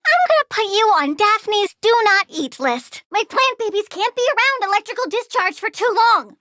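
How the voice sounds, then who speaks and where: A woman's voice: very high voice, very animated, moderate pace, sharp tone, no echo, clean studio sound, heavily acted. A woman voicing a childlike young plant-controlling villain girl in a video game, perky, precocious and sassy, eager and cheerfully menacing. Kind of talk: video game character voice lines.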